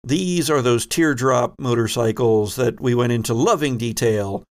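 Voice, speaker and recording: A man's voice: medium pitch, unhurried. Male game master, conversational and wry. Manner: conversational, descriptive, wry asides. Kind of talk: improvised narration of a tabletop roleplaying game.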